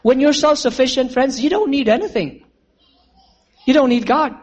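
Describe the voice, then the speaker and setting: A man's voice: extremely high pitch, moderate pace. A man preaching a sermon, telling a story and calling his listeners friends. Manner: earnest, addresses listeners as friends, asks for amens.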